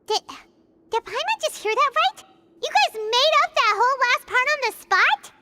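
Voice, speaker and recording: A woman voicing a tiny, childlike floating fairy in a video game, squeaky, blunt and excitable. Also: normal speed, heavily acted. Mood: excited. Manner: refers to herself in third person, emphatic. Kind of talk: scripted video game dialogue.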